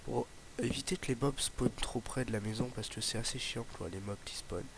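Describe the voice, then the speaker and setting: Young guy w a slight ardeche accent, gravelly lowish voice, lots of uhs, noisy mic. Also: a little fast. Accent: slight Ardèche accent. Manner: rambling, hesitant, lots of fillers and restarts. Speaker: gaming YouTuber. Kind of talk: casual Let's Play commentary. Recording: noisy home mic recording.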